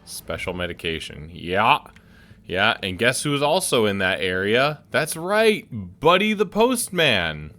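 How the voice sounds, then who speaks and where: A man's voice: fairly low pitch, sing-song, slow pace, pushed and bright. A man hosting a casual gameplay video, musing aloud and chatting about what he sees. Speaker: gaming video host. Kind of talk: casual let's play commentary.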